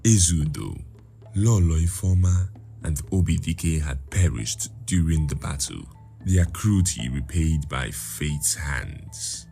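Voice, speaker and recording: A man narrating a folktale as a scripted story, in a measured, dramatic manner. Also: a bass voice, vocal fry, dark tone, soft background music.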